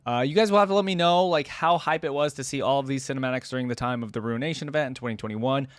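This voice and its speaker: A man's voice: medium pitch, very fast, no echo, studio quality. A man giving casual, enthusiastic reaction commentary in fan slang. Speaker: reaction video host. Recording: loud music and game audio behind. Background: music from the videos being watched.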